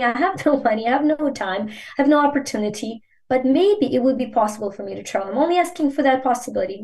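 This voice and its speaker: A woman's voice: on the high side, a little mellow. A woman from Ukraine, a native Ukrainian speaker, talking earnestly and emphatically as a guest in a podcast interview, with a coaching tone.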